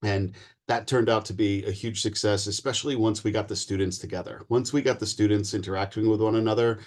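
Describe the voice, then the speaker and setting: A male faculty member speaking casually at an online panel, often clearing his throat. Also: lowish voice, fairly even intonation, a little fast. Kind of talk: virtual panel presentation.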